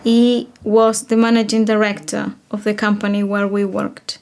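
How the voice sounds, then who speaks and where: A woman from Chile with a Chilean Spanish accent, a native Spanish speaker, answering interview questions in a measured way. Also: mid-range voice, flat delivery, gravelly, a little mellow, slight background noise.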